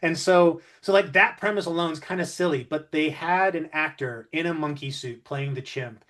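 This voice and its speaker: A man's voice: on the high side, normal speed, fairly bright tone. A man co-hosting an interview, chatting casually and musing at length, often circling back to rephrase. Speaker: interviewer, co-host. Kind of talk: casual discursive conversation.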